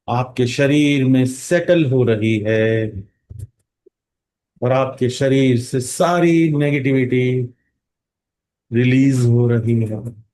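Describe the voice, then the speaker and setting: A man guides a meditation very slowly in a soft-edged, mellow voice. He gives calm instructions, stretching words out and leaving long pauses between phrases. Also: medium pitch. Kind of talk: guided meditation in Hindi mixed with English phrases.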